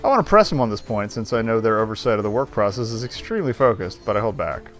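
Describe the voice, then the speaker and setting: A man's voice: lowish voice, animated, fast talker, fairly bright tone. A male game streamer reading visual novel narration aloud, with wry, sardonic asides. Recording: faint music behind voice.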